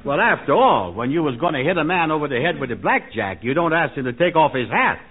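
A man's voice: on the high side, sing-song, fast talker, husky, full character voice. An actor playing a prim, genteel, cautious insurance man in an old American radio comedy, speaking precisely. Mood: reproving. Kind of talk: 1940s-50s American radio comedy acting. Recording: tinny, band-limited old radio recording.

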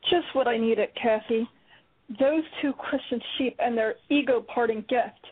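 A woman playing a sarcastic, high-voiced young student. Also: unhurried, breathy edge. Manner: sarcastic, blunt. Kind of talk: amateur radio play acting. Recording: tinny phone line with faint music.

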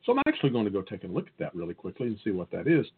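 A man's voice: on the low side, huge pitch swings, racing, breathy edge. A man with a Southern US accent chatting casually as a podcast co-host, sharing tech tips. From Southern United States. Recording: phone-like, narrow-band audio.

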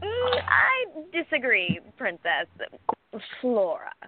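A woman's voice: a little high, wildly swooping pitch, slow, very noisy, heavily acted. A woman playing a protective, skeptical bodyguard, a proper military major, in a radio play. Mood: worried. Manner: skeptical, dryly deferential. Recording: thin, dry phone-like sound.

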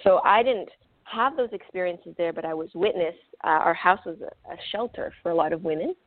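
A woman's voice: lowish voice, fairly quick, dry recording. A French-Canadian woman, French her first language, telling her life story candidly as a radio guest. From French-speaking Canada. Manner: earnest and candid, with fillers. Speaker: guest speaker and author. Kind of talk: personal life story in an interview. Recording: tinny phone-line call, dry.

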